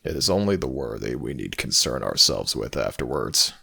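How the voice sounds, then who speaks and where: Stern tired god of the underworld, super deep n gravelly, bright edge, formal asmr roleplay. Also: male voice, very animated, heavily acted. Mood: solemn. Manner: formal, commanding, measured. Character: Anubis, stern, weary male god of the dead. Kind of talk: ASMR audio roleplay acting.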